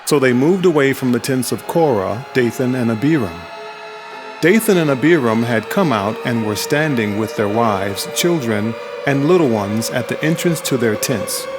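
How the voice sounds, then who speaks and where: A man reading scripture aloud as a dramatized narration, measured and solemn. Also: mid-range voice, expressive. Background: music.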